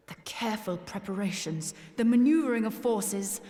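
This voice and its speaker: A woman's voice: mid-range voice, moderate pace, warm tone, natural voice. A woman playing a composed strategist in a fantasy game, with formal diction. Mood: grave, analytical. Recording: long hall-like reverb.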